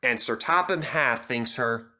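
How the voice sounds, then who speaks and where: A man reading a children's railway story aloud with animated, expressive narration. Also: mid-range voice, measured pace.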